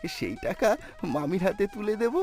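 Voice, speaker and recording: Man voicing a timid, high-pitched orphan lad. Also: lively intonation, moderate pace, darkish tone, faint music behind. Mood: delighted. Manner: plaintive, hesitant. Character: timid, sad orphaned nephew, a naive young lad. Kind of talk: cartoon voice acting.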